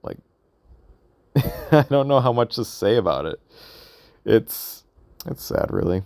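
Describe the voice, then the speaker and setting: Halting man musing aloud, dry sound. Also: medium pitch, big pitch swings, creaky, darkish tone, no echo. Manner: hesitant, halting, rambling with repeated questions. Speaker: vlogger recording an audio log. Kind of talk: casual unscripted monologue, musing aloud.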